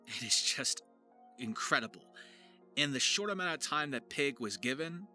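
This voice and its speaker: A man's voice: medium pitch, sharp tone. A man narrating a video to his viewers as a voice actor and writer, in a chatty, emphatic, informal way.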